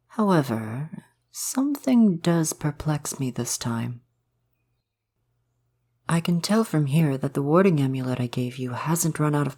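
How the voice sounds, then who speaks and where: A man playing a gentle, courtly forest spirit in an ASMR roleplay, speaking in formal, old-fashioned, polite phrases. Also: on the high side. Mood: puzzled, curious. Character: gentle, courtly male forest spirit, curious about humans. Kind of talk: ASMR roleplay, soft romantic audio drama.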